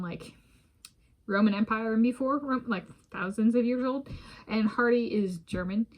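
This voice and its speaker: An American woman talks casually into a camera she is filming herself with, her pitch sitting right in the middle. She rambles and restarts often, breaking off with soft laughs as she goes. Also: low-quality recording. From United States. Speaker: vlogger. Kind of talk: casual solo talk to camera. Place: home in a residential area. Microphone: self-filmed camera.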